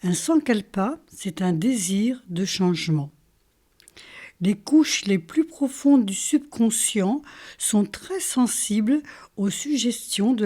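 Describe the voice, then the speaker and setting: Slow, mellow-voiced woman guiding meditation. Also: mid-range voice. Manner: calm, soothing, short phrases with pauses. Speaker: yoga nidra meditation teacher. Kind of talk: guided relaxation meditation, instructional.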